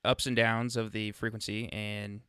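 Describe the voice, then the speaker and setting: A man with a clear, fairly low voice guides listeners through software steps in a casual, chatty way. He thinks aloud as he demonstrates. The sound is close and dry, as from a USB microphone right by him. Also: level tone, a little slow, no echo. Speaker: tutorial presenter. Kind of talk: casual screen-recorded software walkthrough.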